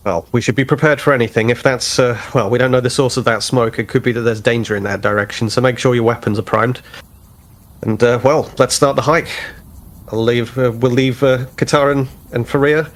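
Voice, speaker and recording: A man's voice: mid-range voice, fairly even intonation, brisk. A man taking part in a tabletop role-playing game, conversationally narrating and speaking for his character.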